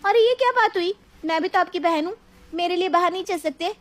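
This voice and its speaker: A woman's voice: very high-pitched, a little fast. A woman voicing a headstrong, demanding young married woman in a dramatized story, with a whiny, insistent delivery. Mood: insistent, indignant. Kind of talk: dramatized moral story voice acting.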